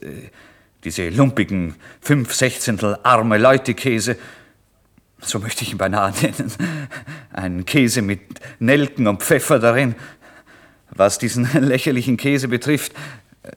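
A man giving a dramatic first-person literary narration in a theatrical manner that moves between hushed and excited. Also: on the low side. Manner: theatrical, swinging from hushed murmurs to excited outbursts.

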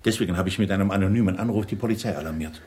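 An actor in a German radio drama playing a grave, middle-aged uncle who confesses insistently, in a deliberate and dramatic manner. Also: male voice, deep voice, a little mellow, natural voice. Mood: agitated confessing. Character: confessing older uncle, grave, self-justifying yet pleading.

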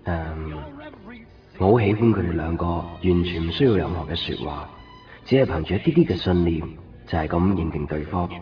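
A man's voice: deep voice, expressive, moderate pace. An actor playing a wistful young man with a Hong Kong Cantonese accent in reflective radio drama monologue. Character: wistful lovelorn young man recalling a film date. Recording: dull 1990s radio recording. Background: music.

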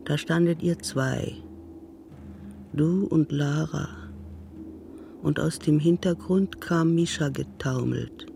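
An actress playing a stubborn, grave elderly woman with a deliberate manner in a radio drama. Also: low voice, vocal fry, mellow, soft background music, heavily acted. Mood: sorrowful, subdued. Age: older.

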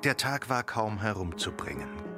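An actor playing the narrator of a radio play, reading literary prose in a measured way. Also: male voice, lowish voice, sing-song. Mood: measured, subdued. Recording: music with sitar behind.

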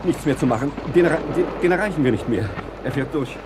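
Schauspieler als aufgeregter Sekretär, hohe bewegte Stimme. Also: male voice, on the high side, expressive, fast, background noise, lightly acted. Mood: agitated, resigned. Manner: agitated, stumbling. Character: flustered, defeatist male secretary to a bossy boss. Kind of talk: German radio play acting.

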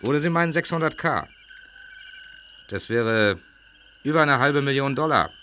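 A man playing a cautious, scheming criminal in a German audio drama, speaking deliberately and conspiratorially. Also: mid-range voice, animated, sharp tone, a little hiss or hum. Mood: calculating. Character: adult male criminal plotting a secret search, cautious and scheming.

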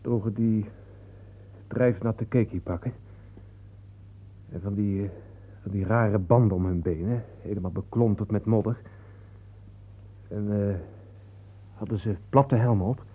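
Radio drama male: edgy, nightmare-shaken ordinary guy, colloquial and halting, rough husky tone, phone-thin old audio. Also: on the low side, steady tone, narrow, tinny audio. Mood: uneasy, hesitant. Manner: agitated, hesitant, colloquial. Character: shaken man woken from a war nightmare, blunt, on edge. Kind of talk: Dutch radio play acting.

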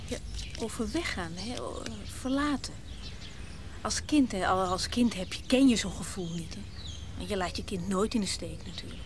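A woman's voice: mid-range voice, moderate pace, background noise, lightly acted. An actress playing a nervous, wistful, newly widowed woman who halts, stammers and repeats words in a naturalistic radio drama. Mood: melancholy, tender.

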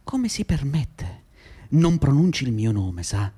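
An actor playing a blustering, condescending, self-righteous cooperative boss in a radio drama, pompous and indignant in manner. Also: male voice, mid-range voice, sing-song, takes it slowly, mellow, husky, heavily acted. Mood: outraged. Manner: pompous, patronising, swooping indignation.

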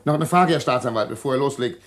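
A man's voice: medium pitch, quick pace, close to an everyday voice. An actor playing a dutiful, deferential male prison guard in a German radio crime play. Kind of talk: 1950s to 80s German radio crime drama acting.

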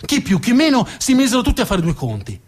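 A man's voice: extremely high pitch, huge pitch swings, fast, slightly rough. A man telling a story as a stage narrator, emphatic and dramatic, building up lists and repetitions.

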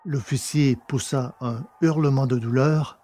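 A man with a slightly husky voice reading scripted fiction as an audiobook narrator. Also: medium pitch, fairly even intonation, measured pace, warm tone, slight background noise.

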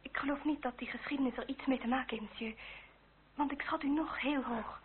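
An actress plays an earnest young lady of the French nobility in a radio drama. She speaks in a high voice, politely but directly, with a pleading candour. The recording is thin and phone-like, with clear noise. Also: steady tone, a little fast, lightly acted. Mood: subdued, candid. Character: earnest, well-bred young French noblewoman, candid and pleading. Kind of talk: old radio play acting. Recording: tinny, noisy old radio recording.

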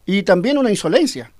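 A high-pitched man speaks firmly as a cacique under questioning, repeating words and stumbling as he builds his point. The recording is dry and close, with no room sound. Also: darkish tone, no room sound. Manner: firm, repetitive, stumbling over words. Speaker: Mapuche cacique being interviewed. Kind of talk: radio interview answers.

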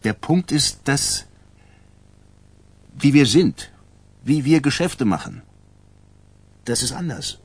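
An actor playing a sullen, hot-tempered mobster's son, husky and curt, in a radio crime drama. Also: male voice, mid-range voice, big pitch swings, slow, pauses often, no room sound, heavily acted. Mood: angry, resentful. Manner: curt, aggressive.

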